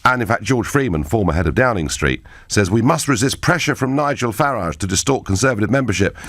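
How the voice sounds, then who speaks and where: A middle-aged man fronts a radio phone-in, his fairly low voice leaping around as he makes his points. He sounds emphatic and opinionated, tossing in wry asides. The studio sound is close and dry. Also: animated, quick pace, a bit bright, dry recording. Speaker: radio talk show host.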